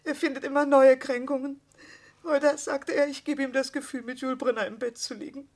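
A woman's voice: high voice, steady tone, brisk, a little mellow, natural voice. An actress in a radio play playing an aggrieved wife, earnestly complaining about her husband's slights. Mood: plaintive, hurt. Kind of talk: German radio play acting.

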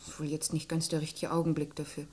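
A woman's voice: deep voice, level tone, fast talker, darkish tone, lightly acted. An actress playing a guarded, hesitant young woman who answers briefly in a German radio crime play. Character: guarded young woman, murdered man's daughter, reticent. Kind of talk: mid-century German radio play acting. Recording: noisy vintage radio drama recording.

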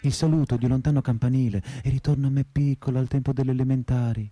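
An actor playing a weary, bitter narrator, delivering a brooding, lyrical monologue for radio theatre. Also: male voice, mid-range voice, steady tone, quick pace, mellow, no echo, faint music behind, close to an everyday voice. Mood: reflective. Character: weary, broke, bitter night worker, poetic narrator.

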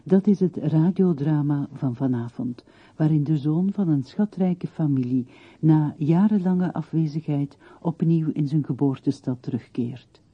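A woman's voice: deep voice, a little slow, breathy edge. A woman announcer reading a scripted introduction to a radio drama. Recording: roomy, muffled narrowband radio recording.

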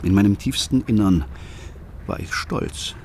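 An actor narrating a radio play as a troubled father, speaking in a calm, reflective, confessional way. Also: on the low side, measured pace, slight background noise, lightly acted. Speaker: first-person narrator.

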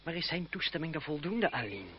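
A man's voice: a little high, very animated, fairly quick. An actor playing a young French nobleman in a vintage Dutch radio play, mocking and eloquent, secretly smitten and jealous. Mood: indignant, pleading. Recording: dull, noisy old radio-play recording.

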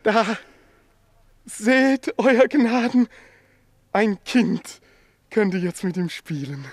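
A man's voice: high voice, animated, very slow, no echo, studio quality, exaggerated voice. An actor playing a meek Greek tailor in a radio play, who coaxes animals like babies and speaks deferentially to his betters. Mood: anxious, deferential. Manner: coaxing baby talk to animals, deferential to his lord. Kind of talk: theatrical radio play acting.

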